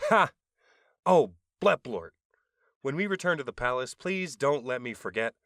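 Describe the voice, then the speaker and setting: A man's voice: medium pitch, lively intonation, unhurried, a bit bright, no room sound, studio quality. A man playing a teasing alien advisor.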